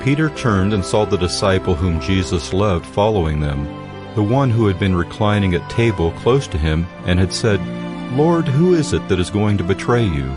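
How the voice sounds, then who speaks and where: A male narrator reads scripture in a measured, solemn manner. His voice sits fairly low, and music plays clearly underneath the reading. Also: expressive. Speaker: Bible narrator.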